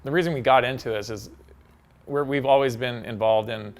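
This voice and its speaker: A middle-aged man from Hawaii, a company co-founder talking casually and quickly, full of "like" and "you know". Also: mid-range voice, slight background noise. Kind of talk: casual promotional chat.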